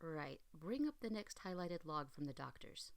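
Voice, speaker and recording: Low creaky analyst mare played by a woman. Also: very animated, moderate pace, heavily acted. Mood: businesslike. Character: curt, inquisitive female pony analyst at work. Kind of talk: scripted audio drama.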